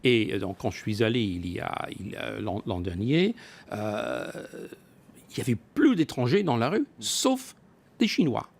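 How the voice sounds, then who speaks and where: A man's voice: medium pitch, big pitch swings, measured pace, gravelly. Hesitant male business expert in an interview. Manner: hesitant delivery, often repeating and rephrasing words. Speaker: business expert guest, former company executive. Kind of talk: live broadcast interview.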